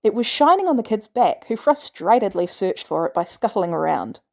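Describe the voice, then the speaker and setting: A woman's voice: mid-range voice, very animated, moderate pace, gravelly, a little mellow. A woman reading scripted fan fiction aloud as a narrator. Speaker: podfic narrator.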